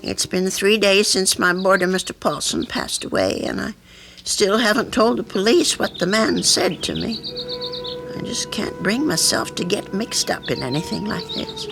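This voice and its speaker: A woman's voice: medium pitch, very animated, normal speed, music in the background. An actress playing an anxious, flustered elderly landlady with a hesitant manner in an old radio drama. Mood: wistful, troubled. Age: older. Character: anxious, fretful elderly landlady, reluctant but conscientious. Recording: vintage radio drama recording.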